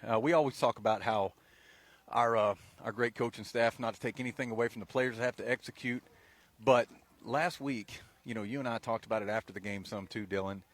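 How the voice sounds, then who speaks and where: A man with a low voice, giving measured, folksy color commentary on a local radio football broadcast. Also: fairly even intonation, no room sound.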